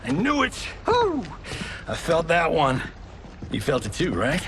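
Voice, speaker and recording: An actor playing a brash, showboating martial-arts movie star in a video game cutscene, with a theatrical manner and big hunger for fame. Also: male voice, huge pitch swings, background noise. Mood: excited, schmoozing. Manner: brash, theatrical, fast-pitching talker.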